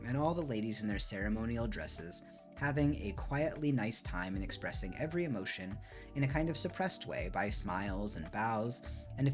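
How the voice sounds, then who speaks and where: A man reading a book aloud expressively on a stream, with casual commentary, close to the microphone. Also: fairly low pitch. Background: soft music.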